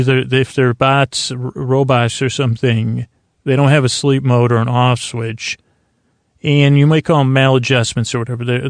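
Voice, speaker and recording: Male podcast host, gravelly fry, fairly even pitch, halting and circular bedtime rambling. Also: no room sound. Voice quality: creaky voice. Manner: meandering, halting, circles back on himself. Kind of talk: rambling bedtime-story podcast intro monologue.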